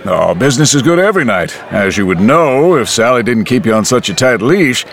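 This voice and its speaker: A man's voice: medium pitch, sing-song, brisk. A man voicing a friendly saloon owner of birth, speaking English. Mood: genial. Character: friendly French-born saloon owner, genial.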